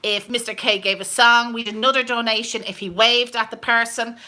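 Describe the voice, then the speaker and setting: Brisk woman co-host reading comments. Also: fairly high pitch, steady tone, pushed and bright. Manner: brisk, relays questions and donations. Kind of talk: live stream co-hosting.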